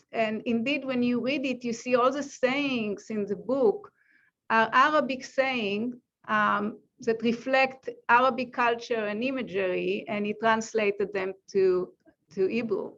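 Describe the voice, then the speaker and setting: A woman moderating an online panel over a video call, speaking in a measured way with frequent ums. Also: on the high side, fairly bright tone. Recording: dull lo-fi call audio.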